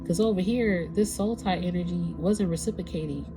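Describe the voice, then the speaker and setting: Woman, lower register, congested and stuffy, easygoing card reader talking to her viewers, music bed underneath. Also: moderate pace, low-quality recording. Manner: casual, conversational, searching for words. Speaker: tarot reader. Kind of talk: spiritual reading for a collective audience.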